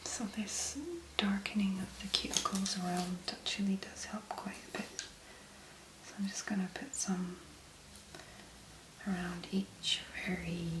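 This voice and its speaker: Female ASMR creator, hushed whispery delivery, very unhurried and gentle, recorded in an echoey room. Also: medium pitch, background noise. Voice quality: soft, breathy, mostly whispered. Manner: slow, gentle, unhurried musing. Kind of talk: soft-spoken ASMR make-up commentary.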